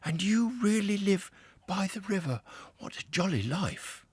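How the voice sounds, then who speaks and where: A man's voice: high voice, animated, measured pace, breathy edge, dry recording, voice pushed up, full character voice. A man voicing a timid, wondering young male mole who asks eager, nervous questions.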